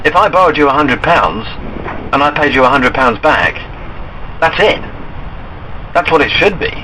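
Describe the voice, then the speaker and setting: Insistent man with a bright, forceful voice. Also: fairly high pitch, animated, moderate pace. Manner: insistent, earnest, presses his points. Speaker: caller. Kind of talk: argumentative phone conversation. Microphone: telephone call. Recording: noisy recorded phone call.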